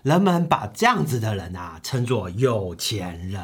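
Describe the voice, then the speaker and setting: A man voicing a calm, wise rich man of ancient Babylon who teaches in a deliberate way with drawn-out phrase endings, in an audio drama. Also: on the low side, breathy edge, pitched below the performer's own voice, full character voice. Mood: calm, instructive.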